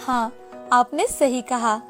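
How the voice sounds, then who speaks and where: A woman playing a supportive, patient wife and mother in a storybook tale, soft-spoken, with music behind. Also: on the high side, slow pace. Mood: agreeable.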